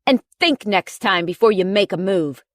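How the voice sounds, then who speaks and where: A woman's voice: mid-range voice, animated, moderate pace, dry recording, lightly acted. Lady doing a bossy cruel alpha werewolf guy from an audiobook, threatening, barks orders, dry recording. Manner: commanding, threatening.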